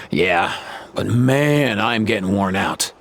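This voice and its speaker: A man's voice: on the low side, lively intonation, takes it slowly, fairly bright tone, slight background noise, heavily acted. An actor playing an easygoing, good-natured young man in a video game, slangy and readily exasperated. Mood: weary, exasperated. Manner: slangy, sighing and exclaiming. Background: faint game background music.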